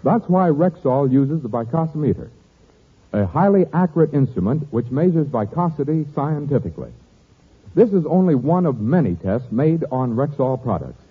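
A man's voice: a little high, animated, normal speed, breathy edge. A man announcing a scripted 1940s radio advertisement. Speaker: commercial announcer. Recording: phone-like vintage radio recording.

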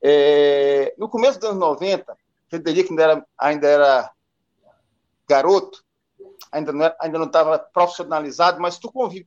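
A man co-hosting a live stream, who asks long questions haltingly, with many restarts. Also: on the high side, muffled recording. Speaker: co-host interviewer.

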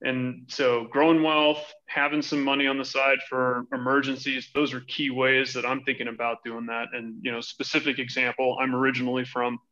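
American guy, 30s, podcast guest, bright punchy voice, bit lo-fi sound. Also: medium pitch, level tone, normal speed, hardly any pauses. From Hawaii, United States. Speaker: podcast guest, financial advisory director. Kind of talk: conversational interview answers.

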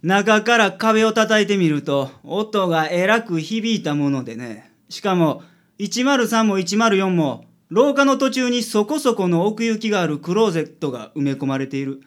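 A man's voice: high-pitched, lively intonation, normal speed, a bit bright. A man playing a confident, shrewd private detective in a radio drama, theatrical, with a Kansai accent. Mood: deducing. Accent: Kansai Japanese.

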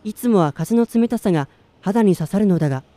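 A man's voice: high voice, lively intonation, brisk, mellow, no room sound. An actor playing a listless, self-doubting man in his twenties in a radio drama, plain-spoken in narration and dialogue. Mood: reflective, subdued. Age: 20s.

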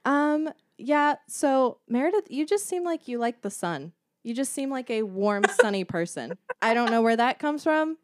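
A woman's voice: a little high, animated, normal speed, few pauses, dry recording. A woman co-hosting a podcast in casual chat, easygoing and agreeable. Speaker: podcast co-host.

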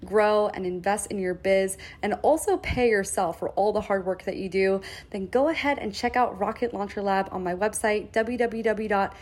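A woman's voice: moderate pace, few pauses. Bubbly woman host plugging a course. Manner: bubbly, excited sales pitch. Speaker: podcast host reading an ad. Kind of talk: upbeat self-promotion read. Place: new home.

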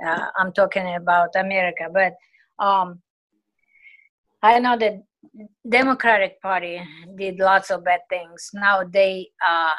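A woman from Ukraine whose first language is Russian speaks English with a Russian-speaking Ukrainian accent. She is an interviewee recalling her past in a halting way and searching for English words. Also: lowish voice, fairly bright tone, low-quality recording. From southeastern Ukraine. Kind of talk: conversational personal recollection.

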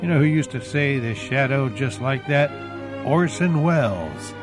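A man with a mid-pitched voice hosts in a chatty, folksy way, speaking straight to his listeners. Prominent music plays behind him. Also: animated, measured pace. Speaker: host of old-time radio show podcast. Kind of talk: chatty scripted-feeling hosting.

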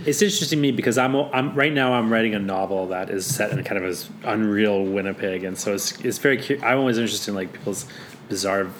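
Brief: male interviewer, halting and filler-heavy, faint hiss in the room. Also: lowish voice. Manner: hesitant, many fillers and laughs. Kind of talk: conversational interview.

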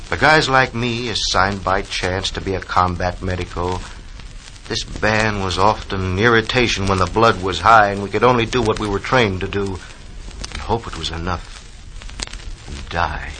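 A restless, wistful young army medic in a 1940s radio play. The voice is low with a tense, bright edge, over the faint noise of an old recording. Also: male voice, moderate pace, rough recording. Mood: solemn, reflective. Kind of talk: 1940s radio drama acting, verse narration.

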